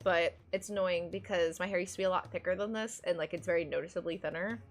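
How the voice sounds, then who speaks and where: A woman's voice: lowish voice, steady tone, quick pace. A woman streamer with a nasal voice chatting casually while playing, rambling with lots of fillers. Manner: rambling, many fillers, cackling laugh. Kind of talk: casual chat while gaming.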